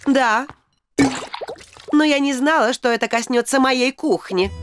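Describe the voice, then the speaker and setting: A woman voicing a self-assured, slightly prim fairy nanny in a cartoon dub, brisk and knowing. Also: high voice, soft background music.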